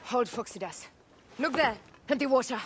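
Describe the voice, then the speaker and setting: An actress playing a sharp-witted woman warrior who speaks briskly and wryly. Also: on the high side, animated, background noise, close to an everyday voice. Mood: alert.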